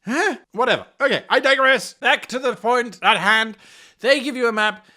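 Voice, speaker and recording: Guy giving tabletop rpg advice, really dramatic delivery, high voice, super bright. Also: normal speed. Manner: theatrical, exaggerated, acts out mock dialogue. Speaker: host giving game master advice. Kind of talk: animated tabletop role-playing advice to camera.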